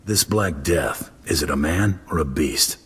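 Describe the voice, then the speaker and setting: An actor playing a stern, cool-headed hero for hire who speaks tersely in a video game. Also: male voice, a bass voice, a bit bright, slightly rough, full character voice. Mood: stern, calm. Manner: terse, measured.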